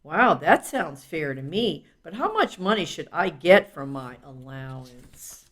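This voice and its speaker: A woman's voice: low voice, big pitch swings, measured pace, exaggerated voice. Curious, eager kid, played by a woman, naive and wondering, very varied intonation, children's audiobook dialogue. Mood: pleased, curious. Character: curious, eager child asking questions about allowance.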